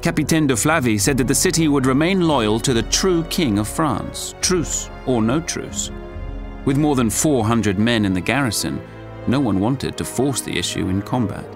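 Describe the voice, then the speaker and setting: Guy as serious war chronicler narrating an audio drama, medium pitch, music clearly behind. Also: natural voice. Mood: solemn, dramatic. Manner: measured, storytelling.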